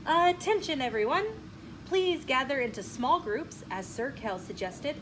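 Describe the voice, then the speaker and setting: A woman's voice: sits high, lively intonation, measured pace. Woman as a commanding storybook nobleman, noisy room. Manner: calling out, declamatory. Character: commanding, helpful male nobleman organizing a crowd. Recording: clearly noisy room with ambient sound.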